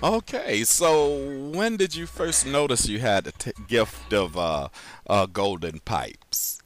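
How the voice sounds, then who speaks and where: A man hosting a chat interview show, speaking in halting, hesitant phrases. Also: lowish voice, expressive. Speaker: talk show host.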